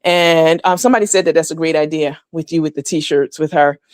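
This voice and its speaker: A woman's voice: sits low, steady tone, a little fast, dry recording, studio quality. A woman hosting a casual gossip call-in show, talking in a blunt, slangy way with swearing. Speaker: show host.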